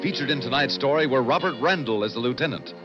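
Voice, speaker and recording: An American man announcing scripted credits for a 1960s radio show in a dramatic, measured delivery. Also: mid-range voice, lively intonation. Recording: muffled old broadcast, loud piano music.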